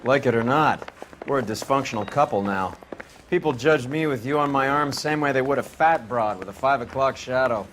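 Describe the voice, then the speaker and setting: An actor playing a cynical, sneering American police detective in a video game, blunt and sardonic. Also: male voice, mid-range voice, moderate pace, a bit bright, a little hiss or hum, lightly acted. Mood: mocking. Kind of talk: video game cutscene acting.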